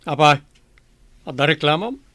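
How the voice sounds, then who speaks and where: Gruff uncle with swooping delivery. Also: male voice, a little high, expressive, moderate pace, sharp tone, no echo. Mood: bossy, irritated. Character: gruff, demanding uncle who orders a child around. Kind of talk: Filipino radio drama acting.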